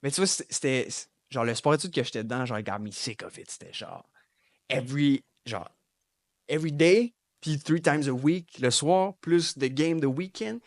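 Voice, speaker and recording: Laid-back rapper, mix. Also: male voice, a little high, normal speed, no echo, clean studio sound. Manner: relaxed, mixes French and English slang. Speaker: guest rapper. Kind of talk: casual interview chat.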